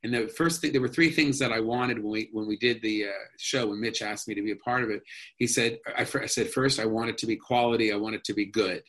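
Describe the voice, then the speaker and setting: A man's voice: lowish voice, steady tone, quick pace, a bit bright. A man being interviewed as an actor, chatting casually and rambling with many restarts and fillers. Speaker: actor guest.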